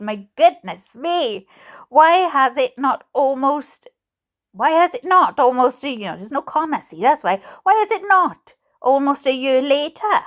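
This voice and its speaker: A woman with a Welsh accent reading aloud in a theatrical, playful way with asides of her own. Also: sits high. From Wales. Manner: theatrical, expressive, playful delivery. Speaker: host reading letters aloud.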